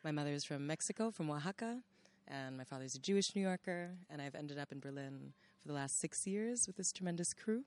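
A woman's voice: low voice, animated, a little fast, darkish tone. A woman from the United States introducing herself on a panel, fluent and articulate. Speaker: panel speaker. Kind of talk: casual self-introduction.

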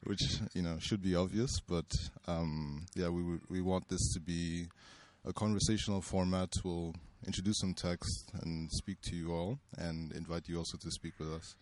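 A man from Cameroon introducing a panel talk and reading a text aloud, pausing often with ums. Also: low voice, level tone, darkish tone. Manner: halting, with many ums. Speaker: panel speaker and reader.

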